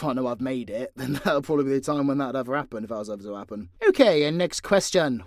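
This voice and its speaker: A man's voice: medium pitch, fairly bright tone, no room sound, clean studio sound. A man with a British accent answering questions in a casual, unscripted way, blunt, sweary and informal. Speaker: audio creator and voice actor.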